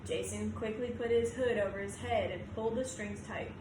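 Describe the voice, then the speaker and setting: A woman teacher reading a children's picture book aloud with expressive storytelling. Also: medium pitch. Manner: expressive storytelling, drawn-out sound words. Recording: roomy echo, clear background noise.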